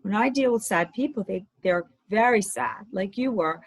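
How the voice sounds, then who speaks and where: A woman dating coach with a slightly hoarse voice, giving upbeat advice in conversation. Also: mid-range voice, normal speed. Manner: upbeat, advising. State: slightly hoarse from talking all day.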